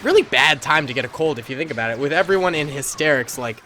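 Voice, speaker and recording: A man's voice: a little high, lively intonation, fast, pushed and bright, slight background noise. A man hosting casual commentary over a battle simulator game, playful and slangy with frequent chuckles, his nose stuffed up from a cold. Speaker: gaming channel host.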